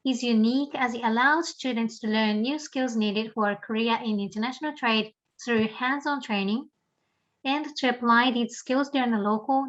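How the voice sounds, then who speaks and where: A woman narrates a university promo in a measured, explanatory read. Her voice sits a little high. The recording is dull and lo-fi. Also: fairly bright tone. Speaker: program narrator. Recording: dull lo-fi sound.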